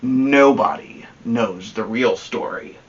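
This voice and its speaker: A man's voice: medium pitch, slow pace, dull audio, lightly acted. A man voicing a chatty, aggrieved storybook wolf who pleads his innocence in a folksy, confiding way. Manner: folksy, confiding, self-justifying.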